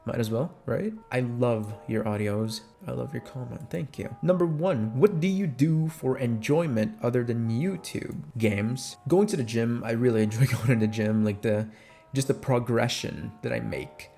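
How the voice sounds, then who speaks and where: A man's voice: medium pitch, moderate pace, gravelly, dark tone. A man with a Filipino accent chatting casually and candidly to listeners, answering questions in an informal way with the odd swear word. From Philippines. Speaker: ASMR voice actor and creator. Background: music.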